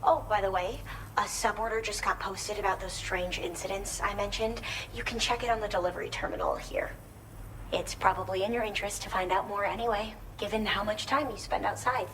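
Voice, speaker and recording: A woman's voice: medium pitch, fairly even intonation, a little fast, a bit bright, close to an everyday voice. An actress playing a friendly, earnest outpost woman in a video game, speaking conversationally. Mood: friendly, hopeful. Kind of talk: scripted video game dialogue. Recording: distant from mic, faint ambient noise.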